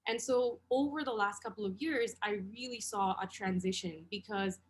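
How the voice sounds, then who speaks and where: A woman in her thirties from Bangladesh, explaining things in a measured way as an investor on a webinar panel over a video call. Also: mid-range voice, a little hiss or hum. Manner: measured, explanatory, frequent ums.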